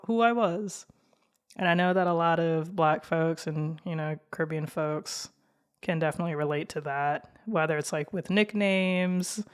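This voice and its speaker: Slow, monotone young woman podcaster. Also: fairly low pitch, smooth. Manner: laid-back and candid, with frequent laughs and fillers. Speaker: podcast host. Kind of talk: casual solo podcast monologue.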